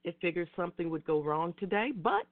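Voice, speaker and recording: A woman hosting an internet radio talk show, slightly hoarse and raspy from a cold, speaking in a measured way with repeated greetings. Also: on the low side, lively intonation, no room sound. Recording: tinny phone line.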